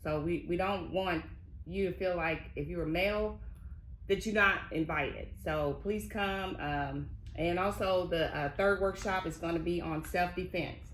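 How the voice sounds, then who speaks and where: A woman's voice: lowish voice, a little slow. An older woman, speaking as a union guest in a friendly, hesitant way with many ums. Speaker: community services member, guest. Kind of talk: casual interview. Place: union hall. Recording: echoey room, faint noise.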